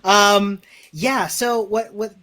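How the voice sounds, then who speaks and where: A man's voice: high-pitched, steady tone, slow, bright, forceful tone. A man from Chile with a Chilean accent, a developer guest talking through his career in a casual streamed interview, self-deprecating and full of restarts.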